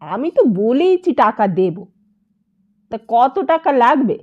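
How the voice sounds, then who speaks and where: A woman's voice: fairly high pitch, big pitch swings, measured pace, narrow, tinny audio. A woman voicing a male story character who bargains insistently and agrees grudgingly. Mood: businesslike. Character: impatient, bargaining man in a hurry to get help.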